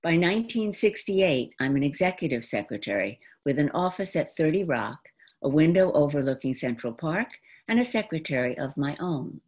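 An American woman in her seventies performing an autobiographical monologue in deliberate, dramatic phrases with pauses. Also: sits low, sing-song, darkish tone. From New York, United States. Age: 70s. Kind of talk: theatrical autobiographical storytelling monologue. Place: indoor room at home. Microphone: computer mic on a video call.

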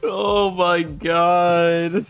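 A woman's voice: lowish voice, level tone, very slow, smooth, soft background music. A young woman gamer in casual chat over a game, with sweary, joking banter.

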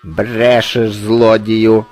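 Guy doing a storybook crow lady, high and squawky, cranky, nagging, swoops all over, faint music behind. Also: huge pitch swings, slow pace, exaggerated voice. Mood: indignant. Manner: squawking, scolding. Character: hungry cranky female crow, loud, scolding, squawking.

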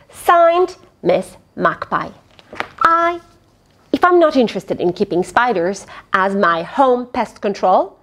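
A middle-aged woman reading aloud to young children, expressive and animated. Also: mid-range voice. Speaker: children's storyteller. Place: small fabric play tent indoors.

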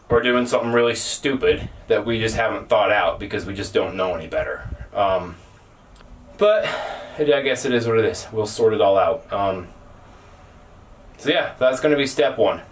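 A man's voice: medium pitch, slight background noise. A man in his forties chatting casually through a boat restoration project, easygoing and self-deprecating, with plenty of ums, talking close to the camera in a small sailboat cabin. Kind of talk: casual how-to vlog talk. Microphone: camera close to the face.

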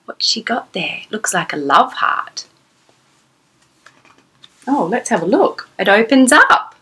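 Casting: female children's storyteller, thirties, high-pitched and very animated, sing-song read-aloud delivery. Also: slow, slightly rough. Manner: exclamatory, sing-song, asking the child questions. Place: small decorated room indoors.

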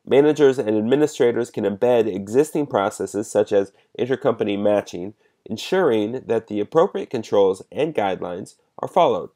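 A man giving a measured, explanatory step-by-step narration as a software demonstrator. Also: fairly low pitch, animated.

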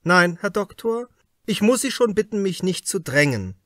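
A man voicing a calm, brooding male police detective who speaks firmly and deliberately in an audiobook. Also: sits high, dry recording, clean studio sound, voice pushed up. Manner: firm, deliberate. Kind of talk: audiobook character dialogue.

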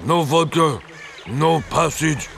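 A man's voice: on the high side, expressive, slow, gravelly, very noisy, heavily acted. A man playing a drunken, simple-minded troll in a video game, speaking in broken, childlike sentences. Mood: stubborn, demanding. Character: drunken, grieving male troll guarding a bridge. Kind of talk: video game character acting.

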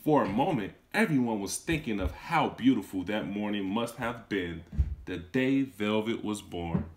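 A man in his thirties, a kindergarten teacher, reading a picture book aloud to children in a small room, close to a phone camera. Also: moderate pace. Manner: expressive storytelling with lively swings in pitch and volume; warm, encouraging talk to young children. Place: small indoor room, seated on a couch.

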